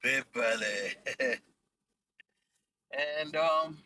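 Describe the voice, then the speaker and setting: A man's voice: medium pitch, very slow, pushed and bright, slight background noise. A young man from Ecuador hosting a casual podcast from a car, joking and mixing English and Spanish. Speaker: podcast host.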